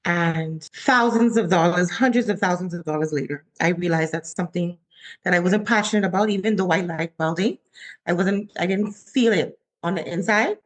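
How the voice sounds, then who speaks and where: A woman in her forties from the Bahamas, a chef telling her story in a casual interview and acting out her own quotes. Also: moderate pace. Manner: animated, acts out her own quotes with rising pitch. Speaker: chef, guest.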